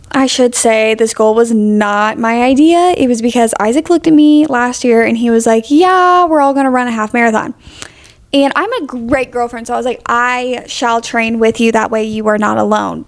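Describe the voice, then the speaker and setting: A young woman co-hosting a podcast, talking in a chatty, animated way and laughing often. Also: fairly high pitch, normal speed. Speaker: podcast co-host. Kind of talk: casual chat.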